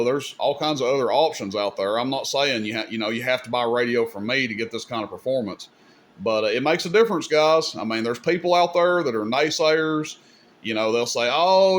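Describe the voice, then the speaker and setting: Man with folksy delivery, noisy recording. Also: mid-range voice. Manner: informal, folksy, opinionated. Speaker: host demonstrating radio gear. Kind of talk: casual hands-on demonstration. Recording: clear background noise, room ambience.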